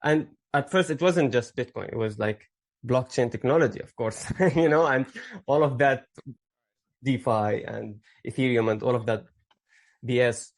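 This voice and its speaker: A man from Syria in his thirties, with a mid-pitched voice, speaks as a podcast guest. He rambles through his story, stopping and restarting often and leaning on fillers. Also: moderate pace. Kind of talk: casual interview storytelling.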